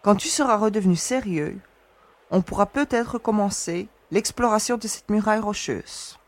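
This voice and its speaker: A woman acting a resolute young space explorer who reasons calmly and gives orders. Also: mid-range voice, moderate pace, close to an everyday voice. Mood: steady, reasoning. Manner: calm, reasoning, commanding. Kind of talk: audio drama acting.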